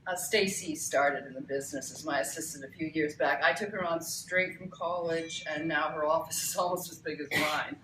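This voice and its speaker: A woman playing a loud, bossy older TV producer, self-important and brisk, at a live table read. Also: deep voice, steady tone. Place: cramped bookstore, live reading without microphone. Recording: echoey live room, distant mic, faint noise.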